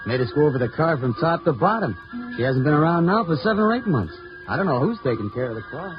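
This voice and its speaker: A plain-spoken car mechanic from old radio drama, acted by a man. He talks quickly in a fairly high voice. The recording is dull, with music clearly behind him. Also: animated, natural voice. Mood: casual, reporting. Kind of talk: 1950s radio drama acting.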